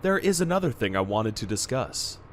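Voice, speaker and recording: Male actor as solicitous, polite guardian of a prince, animated intonation, radio-play delivery, slight ambient noise. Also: mid-range voice, fairly quick, natural voice. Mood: solicitous, grave. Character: solicitous, formal minder devoted to his young prince. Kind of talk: radio-play acting in a fan dub.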